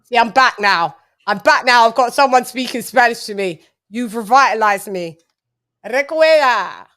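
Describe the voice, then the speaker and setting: A woman's voice: on the high side, lively intonation, moderate pace, a bit bright. A woman with an English accent hosting a casual live chat, laughing often and switching between English and Spanish. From England. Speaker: livestream host.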